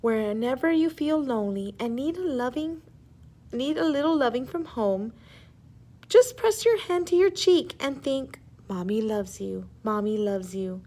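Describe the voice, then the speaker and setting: Woman playing a gentle, high-voiced mother raccoon. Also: animated, a little slow. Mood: tender, reassuring. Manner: tender, soothing, coaxing.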